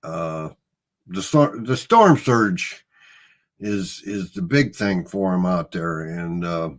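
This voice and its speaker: Laid-back Midwestern man, lowish voice. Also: expressive, slow. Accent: Midwestern American. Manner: easygoing, rambling storyteller, chuckles often. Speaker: host. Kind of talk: casual livestream chat.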